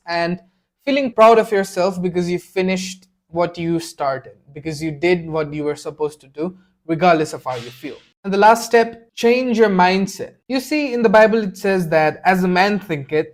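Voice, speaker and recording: A young man whose first language is Nepali, giving a blunt, earnest motivational talk to the camera and repeating phrases for emphasis. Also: sits high. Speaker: self-improvement video creator. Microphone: camera mic, speaking to camera.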